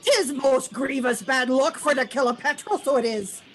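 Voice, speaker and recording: A woman playing an excitable, superstitious sailor in a radio play. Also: sits high, moderate pace, slight background noise, natural voice. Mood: alarmed, foreboding. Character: superstitious Irish sailor man, excitable and garrulous. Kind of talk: old-time radio play acting.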